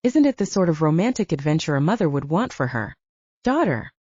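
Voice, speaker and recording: A confident, wealthy romantic hero voiced by a female narrator, persuasive and earnest with a teasing edge. The delivery is lively and mellow, heard on a dry, slightly dull recording. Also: sits low, brisk, breathy edge, no room sound, heavily acted. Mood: conflicted. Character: rich, confident man, romantic and teasing, conflicted. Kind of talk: audiobook dialogue acting.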